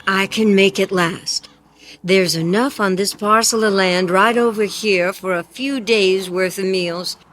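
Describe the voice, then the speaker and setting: A woman's voice: mid-range voice, steady tone, measured pace, a bit bright, slight background noise, lightly acted. An actress playing a patient, resolute woman who farms to survive, speaking plainly and steadily in a radio drama. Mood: resolute, soothing.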